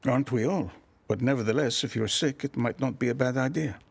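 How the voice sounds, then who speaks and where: A man's voice: medium pitch, vocal fry, slight background noise, natural voice. An actor playing a formal, courteous, dryly polite man in a radio soap. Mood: concerned. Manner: formal, measured, dry.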